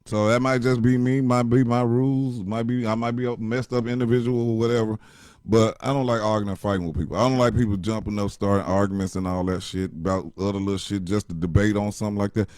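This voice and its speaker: A man with a deep voice gives blunt, profane relationship advice in casual chat. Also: level tone, fast, a little mellow, clean tone, dry recording.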